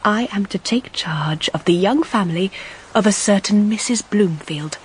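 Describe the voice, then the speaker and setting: A woman's voice: medium pitch, moderate pace, slight background noise, lightly acted. An actress narrating as a reflective young Victorian woman in an audio drama, with a composed, dryly wry delivery. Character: reflective Victorian young woman telling her own story. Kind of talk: first-person scripted narration, audio drama.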